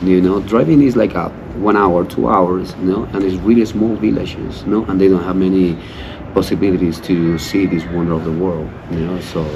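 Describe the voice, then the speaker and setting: Deep-voiced Peruvian man over background noise. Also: fairly even intonation, moderate pace, few pauses. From Cusco, Peru. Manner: repeats "you know" often. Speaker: local partner in conversation. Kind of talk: casual conversational explaining. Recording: clear background ambient noise.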